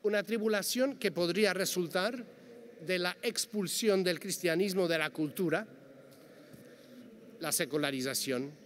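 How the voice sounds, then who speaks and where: Male panel speaker, second-language speaker, measured lecture delivery, higher-pitched voice, long hall reverb. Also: fairly bright tone. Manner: measured, careful delivery with quoted passages. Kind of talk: reading a prepared lecture. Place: large conference hall. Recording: long hall reverb, faint background noise.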